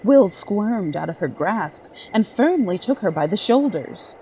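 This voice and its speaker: A woman's voice: mid-range voice, expressive, a little slow, warm tone, highs cut off, slight background noise. A woman reading scripted dramatic narration for a horror story.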